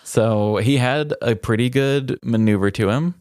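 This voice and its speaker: A man's voice: mid-range voice, measured pace, a little mellow, a little hiss or hum. A man co-hosting a podcast in casual, humorous chat, telling stories playfully and quoting others as he goes. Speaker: podcast co-host.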